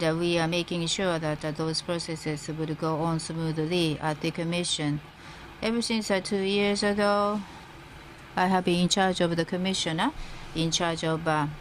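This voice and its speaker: A woman working as a simultaneous interpreter, rendering a formal speech live in halting phrases. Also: fairly low pitch. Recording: interpreter feed with faint background noise.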